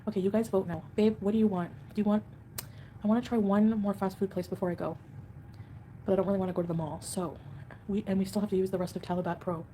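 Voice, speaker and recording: A woman chats with her viewers on a home livestream, rambling from one point to the next and getting defensive about comments. Her voice is mellow and sits at an ordinary middle pitch. A faint hiss stays low under her talk. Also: flat delivery, fast, poor audio. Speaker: livestream host. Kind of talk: casual livestream chat reading comments.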